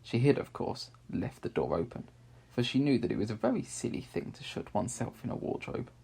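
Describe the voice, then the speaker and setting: A man narrating a children's story aloud in a measured, expressive way with deliberate pauses. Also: medium pitch, vocal fry, breathy edge.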